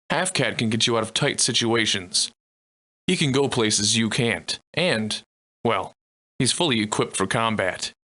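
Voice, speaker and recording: A man plays a folksy game guide with a middle-pitched voice. He is easygoing and fond, giving tutorial instructions in measured, colloquial phrases. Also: a bit bright. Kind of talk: video game tutorial narration.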